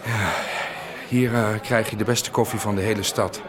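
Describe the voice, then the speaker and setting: A man's voice: on the low side, steady tone, lightly acted. Man playing earnest, hesitant policeman amid chatter. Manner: hesitant, halting. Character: earnest, awkward male small-town policeman in the city. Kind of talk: Dutch radio drama acting.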